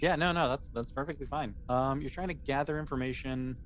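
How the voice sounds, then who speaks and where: A man's voice: mid-range voice, a little fast. Man game-mastering over noticeable background noise. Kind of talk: improvised narration of a tabletop role-playing game, casual.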